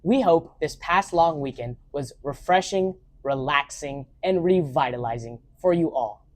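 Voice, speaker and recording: A woman's voice: deep voice, a little slow, darkish tone. A young woman co-anchoring a student news show, reading a friendly sign-off.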